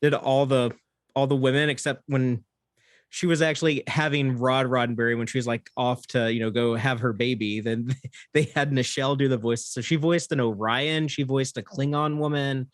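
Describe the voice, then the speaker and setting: A man with a medium-pitched voice talks casually as a guest on a fan podcast. The recording is very dry, with no audible room around him. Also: steady tone, moderate pace, no echo.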